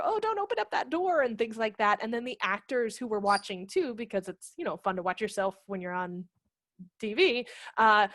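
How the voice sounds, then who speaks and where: A woman's voice: medium pitch, fairly bright tone, no echo, studio quality. A woman in her thirties explaining things casually as an interview guest on a video call, speaking into a headset mic. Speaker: guest, theatre company managing director. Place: living room at home, video call. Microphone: headset microphone.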